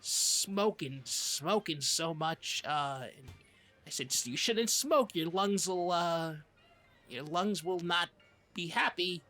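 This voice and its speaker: Give this voice a bright, forward edge at a middling pitch. It is a podcast co-host in comedic chat, rambling and halting through stories with mock-serious insistence. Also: soft background music.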